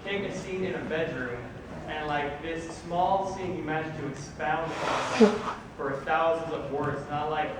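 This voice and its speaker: A flustered man asking a panel a question from the audience, halting and filling gaps with small words. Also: fairly high pitch, heavy background noise. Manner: flustered, halting, says "like" often. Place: convention panel room. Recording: distant, echoey hall, loud crowd.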